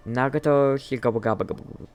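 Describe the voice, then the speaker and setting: A game streamer giving casual live commentary, halting and thinking aloud. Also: mid-range voice, a little mellow, soft background music.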